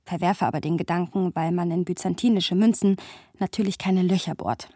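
A woman's voice: lowish voice, fairly even intonation, a little fast, dark tone, husky, no echo. An actress playing a wry, playful young woman who narrates memories of a friend in an intimate radio play style.

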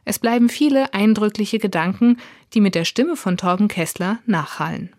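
A woman's voice: mid-range voice, normal speed, a little mellow, no room sound, clean studio sound. A woman with a standard German accent delivering a scripted radio review as a critic. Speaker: audiobook reviewer.

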